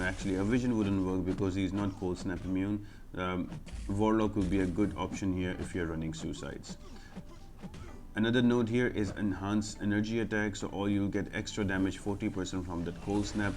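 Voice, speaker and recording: A man's voice: low voice, a little mellow. A man giving a casual, step-by-step video game walkthrough, explaining things directly to the viewers. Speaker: host of a gaming guide channel.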